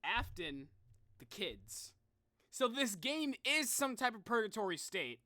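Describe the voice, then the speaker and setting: Animated high-pitched man commentating on a game. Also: measured pace, no echo. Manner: slangy, reactive, exclaiming. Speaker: streamer. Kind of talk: casual live game commentary.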